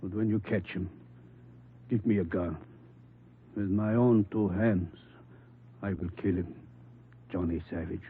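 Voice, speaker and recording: An actor playing a bitter, ashamed old immigrant father, halting and grimly resolved in 1940s radio drama style. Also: low voice, steady tone. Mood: grieving, vengeful. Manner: halting, terse, non-native phrasing. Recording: tinny, noisy 1940s radio recording.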